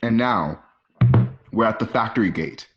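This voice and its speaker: A man's voice: on the low side, lively intonation, measured pace, music in the background. Dull-sounding male narrator reading stage directions. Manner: stumbles and corrects himself while reading. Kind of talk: scripted narration for an online radio drama. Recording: dull lo-fi home recording.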